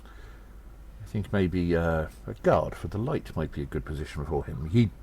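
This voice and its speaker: A man playing an earnest undercover gnome agent in an audio drama, reporting in a measured, halting, formal and conspiratorial way. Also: low voice, animated, mellow, slightly rough, heavily acted. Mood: thoughtful, conspiratorial. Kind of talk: first-person audio drama monologue, spoken report.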